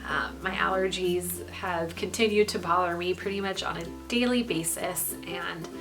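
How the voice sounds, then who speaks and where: A young woman with a stuffy, congested voice giving a candid pregnancy update, pausing often with ums. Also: fairly low pitch, over music. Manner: frequent ums, relaxed and candid. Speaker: pregnancy vlogger. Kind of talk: casual vlog update.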